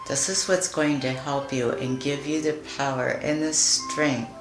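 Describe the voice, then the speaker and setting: A woman leading a guided meditation in a soothing, deliberate manner, leaving long pauses between phrases. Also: deep voice, fairly even intonation. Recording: roomy echo with music behind. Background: music.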